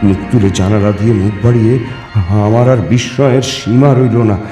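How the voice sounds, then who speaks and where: A man reading a ghost story in the first person, theatrical and suspenseful, with deliberate pauses and repetitions. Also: lowish voice, fairly even intonation. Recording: echoing reverb with music underneath. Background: background music.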